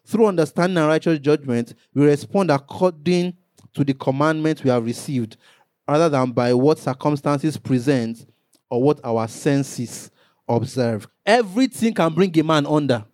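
A man's voice: a little high, moderate pace, a little mellow, dry recording. A man, a pastor preaching a sermon, rising into emphatic bursts and acting out little quotes.

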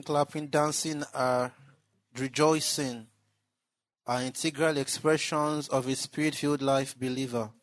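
A man preaching in church, building into shouted exclamations. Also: mid-range voice, no room sound. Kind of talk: sermon.

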